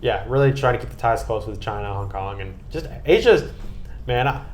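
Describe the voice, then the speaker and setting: A man's voice: medium pitch, lively intonation, moderate pace, slight background noise. An American man chatting casually and earnestly on a podcast, with an enthusiastic manner. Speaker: podcast guest and co-host recapping a trip.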